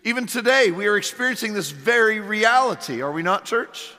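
A middle-aged pastor, hoarse and losing his voice, preaching a sermon in a church with earnest rhetorical questions and the odd filler. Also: sits high, lively intonation, a little fast, bright, forceful tone. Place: church sanctuary. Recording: long hall reverb.

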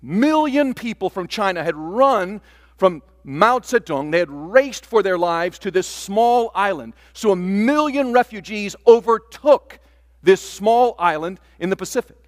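An older male pastor delivering a sermon to his congregation, earnest and deliberate, leaning on words by drawing them out. His voice sits fairly high for a man. The sound carries the long reverb of a church sanctuary. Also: expressive. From Taiwan. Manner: earnest preaching with drawn-out emphatic words.

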